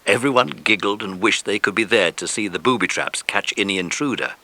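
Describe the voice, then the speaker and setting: A man narrating a children's story in an expressive, dramatic way, as in a scripted audiobook. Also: lowish voice, fairly quick.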